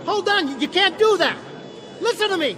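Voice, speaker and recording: An actor playing a small, bitter, hot-tempered jockey in a radio drama, with a streetwise, combative, slangy way of talking. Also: male voice, very high-pitched, expressive, moderate pace. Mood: desperate protest.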